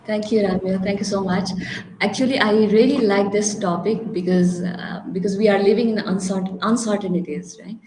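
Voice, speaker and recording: A woman with a Nepali accent speaks unscripted on a panel in a medium-pitched voice. She circles back to rephrase her points and often tags them with a check for agreement. The sound is clearly echoey. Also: fairly even intonation, normal speed, over music. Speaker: panelist, HR professional and career counselor.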